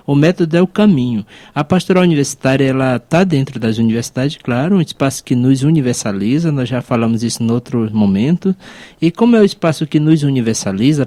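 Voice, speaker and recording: Mellow Brazilian man, reflective and explanatory. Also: mid-range voice, no room sound. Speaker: priest, podcast co-host and university chaplaincy advisor. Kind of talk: conversational podcast talk.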